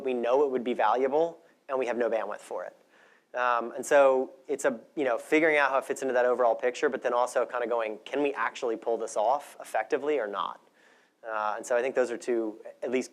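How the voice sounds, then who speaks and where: Conversational man giving a conference talk. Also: medium pitch. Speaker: conference speaker.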